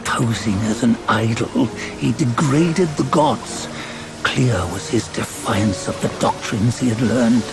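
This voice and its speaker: A haughty, moralizing heavenly judge from a fantasy game. He speaks very slowly at a middle pitch, with a gravelly creak in the voice. His phrasing is archaic and measured, weighted with disdain, and music plays behind him. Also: animated. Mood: disdainful, accusing. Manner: measured, archaic, sententious, scornful. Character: stern, disdainful celestial judge, mature man, mocking and moralizing. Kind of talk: theatrical fantasy game dialogue.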